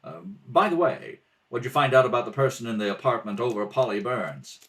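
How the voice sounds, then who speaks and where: A man's voice: mid-range voice, a little fast, a bit bright. A man doing a read-through of a radio mystery script, acting out several parts. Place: at home, at a kitchen table.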